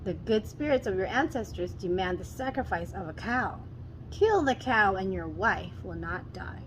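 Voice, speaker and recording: A woman's voice: medium pitch, lively intonation, normal speed, slight background noise, close to an everyday voice, poor audio. A woman voicing a solemn tree spirit in a storybook, really a schemer in disguise making pronouncements. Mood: solemn, commanding.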